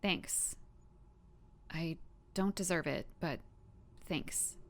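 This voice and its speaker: A woman playing a guarded, softhearted young woman with superpowers in an audio drama, in a conversational, emotionally open manner. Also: on the low side, extremely slow. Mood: regretful.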